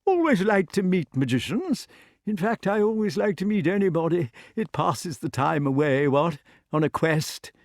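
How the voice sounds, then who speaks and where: High-pitched, dithering old knight king. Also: sing-song, normal speed, dry recording, clean studio sound. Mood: nervous, polite. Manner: flustered, exclamatory, blustering upper-class chatter. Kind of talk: audiobook character voice.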